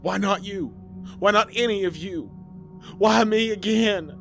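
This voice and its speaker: Desperate rural mother in a horror audiobook, played by a male narrator: raised pitch, accusing, emphatic, music underneath. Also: unhurried, creaky. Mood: bitter accusing. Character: desperate, defiant farm mother pleading for her baby.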